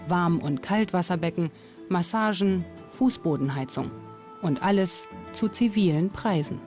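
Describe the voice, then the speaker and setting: Female documentary narrator, scripted read, soft mellow tone, music underneath. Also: lowish voice, a little slow. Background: background music.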